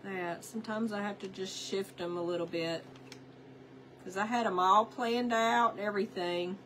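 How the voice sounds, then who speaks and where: A woman's voice: medium pitch, steady tone, moderate pace, fairly bright tone, a little hiss or hum. A woman, sounding stuffed up from a cold, chatting casually to viewers while she works on a craft. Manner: chatty, talks to herself while working, greets viewers by name. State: congested from a cold. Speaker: craft livestream host. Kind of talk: casual craft livestream chat.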